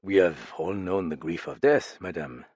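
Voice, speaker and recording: Deep, quiet, soothing detective, male reader, dry close read. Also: sing-song, moderate pace, breathy edge, no room sound, full character voice. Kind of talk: audiobook character voice.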